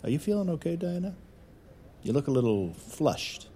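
An actor playing a condescending finance boss in an audio drama. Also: male voice, a little high, big pitch swings, normal speed, mellow, slight background noise. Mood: smug. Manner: condescending, flustered laughs. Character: smug, stuffy male finance boss. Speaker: financial advisor.

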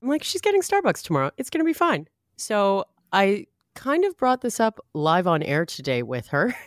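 A woman's voice: mid-range voice, no echo, studio quality. A woman radio host telling a funny story in casual chat, self-deprecating.

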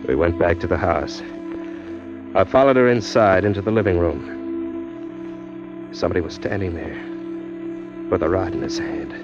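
A wisecracking hard-boiled drifter narrating a radio play; deep voice, dull vintage sound. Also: male voice, huge pitch swings, unhurried, long pauses, loud music behind the voice, full character voice. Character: tough young male drifter, wisecracking, smitten. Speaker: narrator and lead. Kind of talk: 1940s radio acting. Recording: muffled 1940s radio broadcast.

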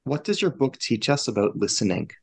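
A man's voice: mid-range voice, sing-song, brisk, creaky, slightly rough. A man interviewing, asking brief plain questions.

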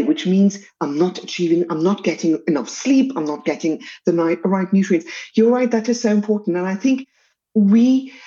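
A woman's voice: on the high side, warm tone, lo-fi sound. A woman with a South African accent, a pediatric dietitian answering interview questions and working through possible causes one by one. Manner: measured, lists factors one by one. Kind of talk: expert explaining in a podcast interview.

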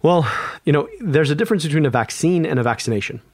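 A man's voice: a little high, animated, quick pace, darkish tone, no room sound. A man, an epidemiologist guest, speaking earnestly in an interview, often restarting and repeating words. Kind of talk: conversational interview.

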